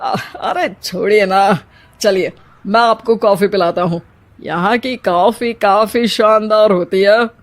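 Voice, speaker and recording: Woman playing a slow-talking, flirtatious retired husband. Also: medium pitch, natural voice. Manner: playful, colloquial, exclamatory. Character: flirtatious retired husband of fifty, vain and evasive. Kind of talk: dramatized Hindi moral story. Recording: music behind the voice. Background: music.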